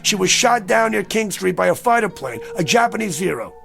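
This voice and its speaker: Male, high gravelly voice, agitated and haunted, insistent drama delivery over music. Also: animated, a little fast, fairly bright tone, exaggerated voice. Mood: haunted, intent. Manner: urgent, pleading. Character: agitated, insistent man haunted by a recurring dream.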